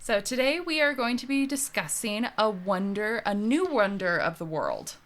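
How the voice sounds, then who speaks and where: Soft-spoken young woman co-hosting. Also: medium pitch, big pitch swings, normal speed. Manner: soft-spoken, laughs often, trails off mid-sentence. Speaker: podcast co-host. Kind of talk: casual chat.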